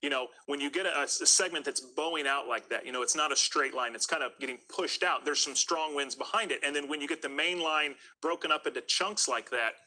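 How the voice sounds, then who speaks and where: A man's voice: a little high, a little fast, few pauses, bright, forceful tone, low-quality recording. A man working as a TV meteorologist, explaining storms conversationally during live severe weather coverage.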